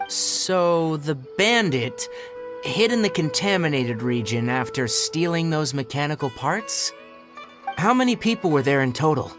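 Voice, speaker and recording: A man's voice: a little high, sing-song, unhurried. A man playing a curt, serious young forest watcher in a video game, blunt and businesslike. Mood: questioning, skeptical. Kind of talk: video game character dialogue. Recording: game music under the voice.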